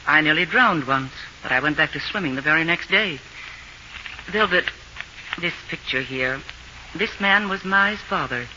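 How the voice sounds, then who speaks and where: A dignified, wise mother in a 1940s radio play. She is firm and measured, with a very sharp, projected tone. The broadcast is band-limited and faintly hissy. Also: deep voice, animated, highs cut off, full character voice. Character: steady, wise, quietly determined middle-aged mother.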